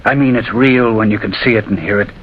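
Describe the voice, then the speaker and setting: An actor playing a haunted, frantic railway signalman in an old radio drama, halting and repeating himself in desperate pleading. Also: lowish voice, close to an everyday voice. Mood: shaken, insistent. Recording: dull, hissy old radio recording.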